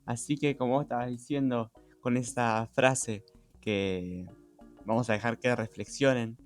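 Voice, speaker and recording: A man's voice: medium pitch, steady tone, unhurried. A man co-hosting a podcast, explaining things in a conversational way. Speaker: podcast co-host. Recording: music behind the voice.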